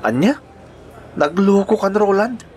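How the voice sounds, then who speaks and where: A man's voice: high voice, animated, takes it slowly, darkish tone, a little hiss or hum. A man with an Ilocano accent playing a good-natured bachelor uncle and devoted son in a radio-style drama. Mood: startled, protesting.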